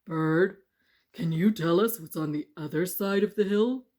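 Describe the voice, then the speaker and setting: A woman's voice: lowish voice, measured pace, a little mellow. A woman voicing a glum old storybook stone with a plodding, solemn manner. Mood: glum, wistful. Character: two old stones on a hill, glum and resigned. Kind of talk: children's storybook character voice.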